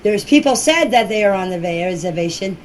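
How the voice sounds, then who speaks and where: An older woman giving an informal personal account in a deliberate, halting way, with short emphatic phrases, recorded as an amateur voice message. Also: mid-range voice, lively intonation, a little hiss or hum. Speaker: relative recounting events. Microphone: voice clip recorded for group chat.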